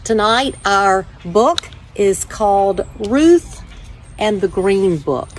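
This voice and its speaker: A woman hosting a children's story time, reading aloud with measured, deliberate phrasing. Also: mid-range voice, expressive, a little hiss or hum, low-quality recording. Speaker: church children's ministry director, story time host.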